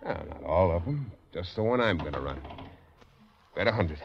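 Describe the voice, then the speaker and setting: An actor playing a tough, sardonic working-class man adrift in a lifeboat in a classic radio drama, gruff and blunt. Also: sits low, lively intonation, moderate pace, slight background noise. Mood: sardonic, needling. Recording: muffled old radio recording.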